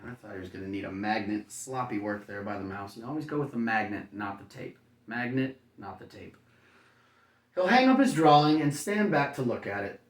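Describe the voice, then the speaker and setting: A man's voice: fairly low pitch, lively intonation, moderate pace. A man reading a children's picture book aloud like a kindergarten teacher, breaking off for playful, folksy, chatty asides. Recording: roomy echo, distant microphone.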